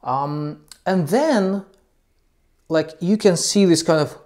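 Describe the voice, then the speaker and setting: A man's voice: fairly high pitch, slow, a little mellow. A man who teaches English, a non-native speaker, talking loosely about teaching with many ums and uhs. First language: not English. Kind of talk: casual talk to camera about teaching.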